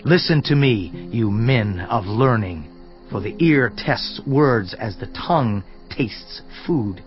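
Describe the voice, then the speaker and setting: A man's voice: mid-range voice, lively intonation, slow. A man playing an earnest, indignant counselor in a dramatized scripture reading, solemn, emphatic and declamatory in delivery. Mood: commanding. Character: Elihu, earnest indignant male counselor rebuking a suffering man. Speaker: voice actor in audio Bible. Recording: slightly dull sound, music underneath. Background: background music.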